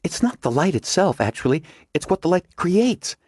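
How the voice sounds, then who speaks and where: A man's voice: sits high, big pitch swings, normal speed, darkish tone, husky, no room sound, studio quality, pitched above the performer's own voice, full character voice. A man voicing a determined young woman scientist who talks breathless and insistent. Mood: explaining.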